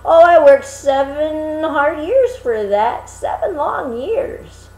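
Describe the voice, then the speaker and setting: A woman's voice: sits high, expressive, slow pace, darkish tone, echoey room, pitched above the performer's own voice, exaggerated voice, rough recording. A woman voicing a cheerful, naive young man in a storybook reading, eager and guileless. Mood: proud, innocent.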